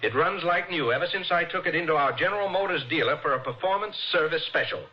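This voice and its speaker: An actor playing a grouchy, sleepy husband in a 1950s radio comedy sketch. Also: male voice, on the high side, fast talker, natural voice. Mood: grumpy. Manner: grouchy, exasperated. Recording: muffled old radio broadcast.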